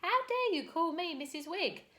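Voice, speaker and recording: A woman reading aloud, voicing a thin, prim spinster landlady in a high, indignant voice. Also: very animated, normal speed, bright, forceful tone, full character voice. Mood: outraged.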